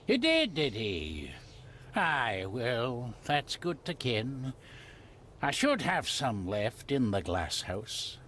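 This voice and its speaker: An actor playing a gruff, old-fashioned, superstitious older Scottish gardener in a video game, blunt and guarded, speaking in dialect. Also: male voice, mid-range voice, wildly swooping pitch, slow pace, a bit bright, a little hiss or hum, heavily acted. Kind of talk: dramatic game cutscene dialogue.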